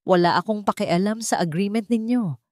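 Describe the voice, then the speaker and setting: A woman's voice: medium pitch, a little fast, a little mellow, no echo, clean studio sound, close to an everyday voice. A woman voicing a wealthy husband in an audio drama, speaking in a firm, cold, demanding way. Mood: angry, demanding. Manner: firm, commanding.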